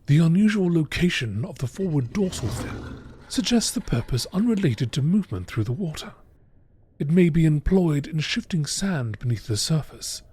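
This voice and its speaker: A man reading scripted text as a narrator in nature documentary style, with a measured, matter-of-fact, formal delivery. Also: on the high side, dark tone, slight background noise. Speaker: narrator reading out game lore.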